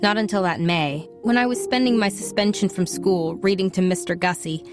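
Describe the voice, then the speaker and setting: A woman's voice: fairly low pitch, moderate pace, music in the background, natural voice. A woman playing a troubled, bookish American schoolgirl who narrates her story reflectively in an audio drama. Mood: reflective, confiding. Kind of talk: scripted first-person drama narration.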